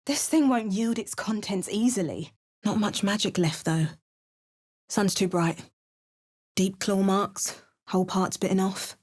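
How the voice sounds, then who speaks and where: A woman's voice: medium pitch, a little slow, pauses often, a little mellow, slightly rough. A woman voicing a game hero, moving between wry remarks and narrated memories. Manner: varied lines, wry asides and narrated memories. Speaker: game protagonist voice actor. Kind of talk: game voice lines.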